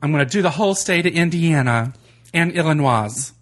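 A man's voice: fairly high pitch, expressive, a little fast. A man co-hosting a casual podcast, chatty and teasing, laughing often. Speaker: podcast co-host.

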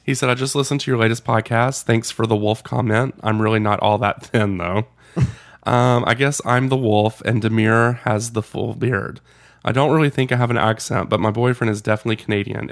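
A man co-hosting a podcast in casual chat who laughs often. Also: fairly low pitch. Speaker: podcast co-host.

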